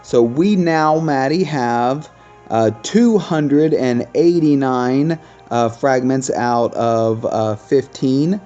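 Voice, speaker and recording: Guy walking viewers thru a mobile game, mellow dark voice, music behind, kinda lo-fi. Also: medium pitch, slow pace. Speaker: gaming video host. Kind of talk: casual game commentary.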